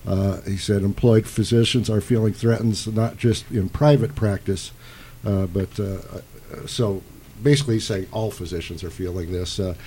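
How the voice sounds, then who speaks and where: A male radio host with a low, mellow voice. He passes along callers' points plainly and steers the panel discussion. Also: fairly even intonation, normal speed, a little hiss or hum.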